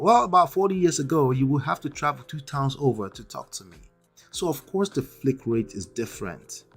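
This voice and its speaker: A man with a thick Nigerian accent hosts a live stream, making emphatic, lecturing points and often checking in with "okay?" and "right?". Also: mid-range voice. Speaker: livestream host.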